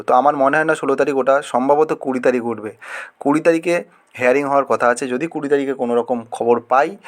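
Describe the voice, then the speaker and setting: This is a man with a medium-pitched voice. He talks like an online exam-prep host addressing students directly. His delivery is informal and halting, and he repeats phrases to stress them. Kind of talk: informal news update to students.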